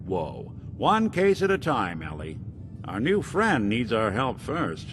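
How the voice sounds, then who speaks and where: An actor with an American accent playing a world-weary, wry android private detective in a video game, in a hard-boiled noir delivery. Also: male voice, medium pitch, huge pitch swings, slow, music in the background. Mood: wry, businesslike.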